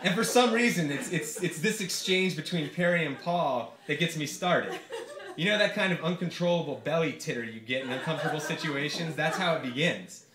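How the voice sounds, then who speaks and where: A man's voice: on the high side, fairly quick, crowd noise. A man reading his comic essay aloud to a live audience in a theatrical, profane storytelling manner. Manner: theatrical comic delivery, swearing, mimicking quoted speakers. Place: live reading event in a bar, before an audience. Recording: echoey bar room, noisy live recording. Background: audience laughter, room noise.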